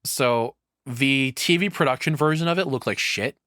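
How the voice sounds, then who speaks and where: A man giving casual, off-the-cuff reaction commentary as a streamer, blunt and sweary, halting as he thinks aloud. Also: mid-range voice, sharp tone, no echo, clean studio sound.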